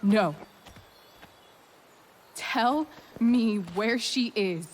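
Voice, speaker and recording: An actress playing a fierce, protective teenage girl in a video game, forceful and emotional. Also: on the high side, drawn-out words, a little hiss or hum. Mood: fierce demand.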